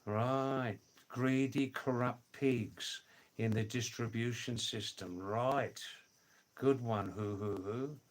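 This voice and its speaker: Slow-talking older man chatting on a livestream. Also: medium pitch, steady tone. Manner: slow, drawn-out, repeats words, chuckles "hoo hoo hoo". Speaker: livestream host reading viewer comments. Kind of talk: casual live chat. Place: home in the Himalayas.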